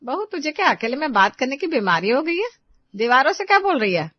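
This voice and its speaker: A woman playing a demanding, grumbling older mother-in-law in an animated family story. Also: sits high, fairly quick, no room sound, muffled recording. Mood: scolding.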